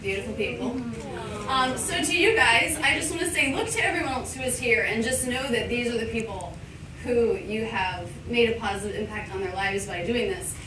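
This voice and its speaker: A woman who teaches English, giving a live thank-you speech to a party crowd, warm and laughing often. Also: a little high, normal speed. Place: large event space. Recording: roomy, far from the mic, noisy.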